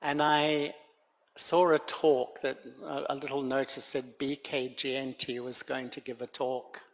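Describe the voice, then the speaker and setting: An older man speaks slowly and gently, telling his story in a reflective way. His voice sits at an ordinary pitch. It rings out with long reverb in a hall. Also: level tone. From Australia. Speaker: guest speaker. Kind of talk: spiritual talk to a gathering. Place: meditation center hall.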